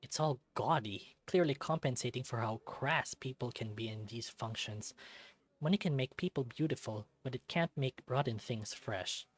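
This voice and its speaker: A man reading a visual novel aloud as expressive first-person scripted narration. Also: mid-range voice, normal speed.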